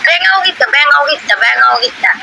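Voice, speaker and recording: A woman's voice: sits high, very fast, gravelly, heavy background noise. A young woman with an eastern Bengali dialect, bantering casually and protesting in short repeated phrases.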